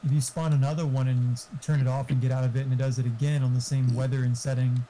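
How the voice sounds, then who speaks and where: A man's voice: mid-range voice, level tone, quick pace, mellow, slight background noise, poor audio. A man in casual technical chat over voice call, explaining things and swearing freely. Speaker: game modder on voice chat.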